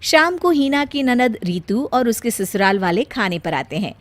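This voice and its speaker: A woman's voice: a little high, big pitch swings, moderate pace, no echo. A woman narrating a scripted moral bedtime story as storyteller.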